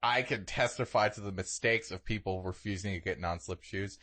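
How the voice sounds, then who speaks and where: A man's voice: lowish voice, brisk, pushed and bright. A young man co-hosting a podcast, telling stories in casual comedic chat with exaggeration and casual swearing. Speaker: podcast co-host.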